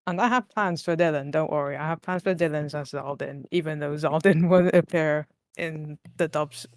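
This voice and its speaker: Dry-sounding, mid-pitched casual podcast guest. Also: normal speed, no room sound. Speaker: podcast guest, fandub voice actor. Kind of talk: casual chat.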